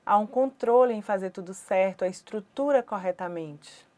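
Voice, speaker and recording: A woman with a Brazilian Portuguese accent who voices a sign-language teacher's lecture for an online course. She speaks in short phrases broken by pauses. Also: mid-range voice, darkish tone.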